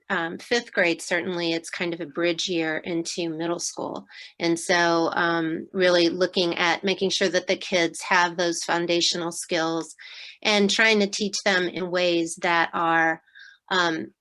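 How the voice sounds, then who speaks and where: A woman teacher describes her classroom program on a video call. Her voice is low and even with little rise and fall, and she talks in a measured way, stopping often on fillers. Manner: measured, explanatory, many fillers. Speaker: fifth grade teacher. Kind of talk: school open house presentation.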